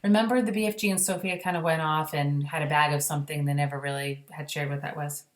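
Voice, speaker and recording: A woman with a slightly low voice reads a storybook aloud. She pauses for casual, explanatory asides, and the room sounds echoey. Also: fairly even intonation. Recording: roomy indoor echo.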